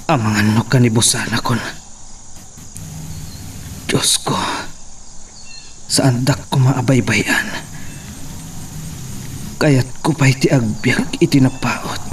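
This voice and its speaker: A man playing a suffering, desperate captive who pleads in a drawn-out monologue in an audio soap drama. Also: medium pitch, lightly acted. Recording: distant mic, faint background noise.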